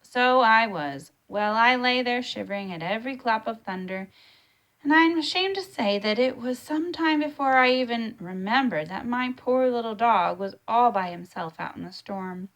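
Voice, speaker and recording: A woman's voice: on the high side, lively intonation, moderate pace, a bit bright, voice pushed up. A woman voicing a kindly old grandfather, telling a childhood tale with emphasis in a storybook read-aloud. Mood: wry.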